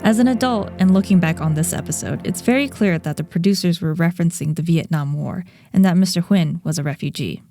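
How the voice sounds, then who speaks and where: A woman hosting a podcast, giving a measured, reflective scripted narration. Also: on the low side, mellow, faint music behind. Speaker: podcast host.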